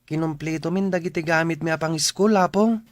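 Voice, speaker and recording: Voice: mid-range voice, a little fast, no room sound, lightly acted. An actor playing a polite, eager schoolboy in an Ilocano radio drama, speaking respectfully and emphatically. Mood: earnest, grateful. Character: polite, earnest, grateful schoolboy.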